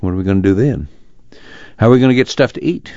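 A man plays a weary farmer and father who has given up and broods. His country speech is resigned and rather low in pitch. The sound is muffled and close, with no room echo. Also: fast talker, mellow, lightly acted. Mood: despairing. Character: weary, defeated, brooding farm father of grown children. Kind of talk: dramatized literary reading. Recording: dull, muffled audio.

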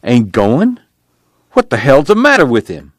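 A man's voice: lowish voice, fast talker, dry recording, clean studio sound, natural voice. A man voicing a blunt, troubled farm father in an audiobook. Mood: agitated. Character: gruff, miserable farm family father, easily worked up.

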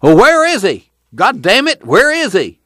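A man's voice: on the high side, expressive, moderate pace, fairly bright tone, no room sound, voice pushed up. Man acting old grandfather, rural American accent, cantankerous, boastful, folksy, storybook character, close dry sound. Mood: demanding. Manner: blustering, cussing, folksy talk.

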